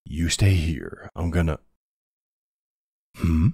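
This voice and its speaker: A man playing a protective older ex-military boyfriend, gruff but tender, in an intimate ASMR roleplay with a gravelly, husky, soothing voice. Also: sits low, dark tone, dry recording, studio quality, full character voice. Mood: weary, protective. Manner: slow, hesitant, soothing.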